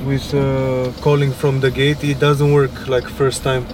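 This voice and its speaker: A young man from Romania with a Romanian accent, chatting politely and easily as a passenger in a car, giving short relaxed answers. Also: medium pitch, a little slow. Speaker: rideshare passenger. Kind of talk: casual chat. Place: inside a car. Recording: loud road noise in a car. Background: car engine and road noise.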